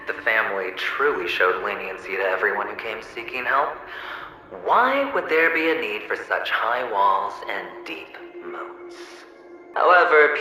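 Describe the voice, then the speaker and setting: An actor playing a taunting inner double of a young gambler in a video game, theatrical and sneering with drawn-out phrasing, chuckles and an echo effect. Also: male voice, fairly low pitch. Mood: mocking. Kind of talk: dramatic video game acting. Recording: echoing voice under clear music.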